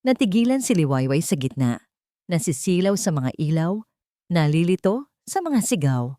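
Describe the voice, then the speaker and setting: Low-pitched Filipino woman narrating dramatically. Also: warm tone, no echo, studio quality. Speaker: story narrator. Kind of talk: dramatic scripted story narration.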